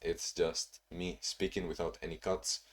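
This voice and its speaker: A young man talking casually in a video diary, rambling and restarting, in a monotone way. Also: sits low, measured pace. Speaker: vlogger.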